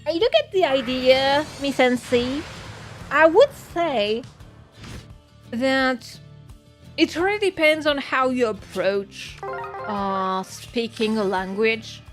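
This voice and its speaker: A woman's voice: high-pitched, takes it slowly, soft background music. A woman with a French accent, a native French speaker, chatting casually on a gaming stream in a musing, rambling way and correcting herself as she goes. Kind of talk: casual gaming livestream chat.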